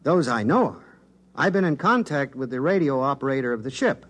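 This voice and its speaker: A man's voice: mid-range voice, animated, a little fast, lightly acted. A man playing an earnest 1950s linguist. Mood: explaining. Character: Nathan, eager male linguist in contact with aliens. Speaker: linguist briefing the press. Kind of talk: 1950s radio drama acting.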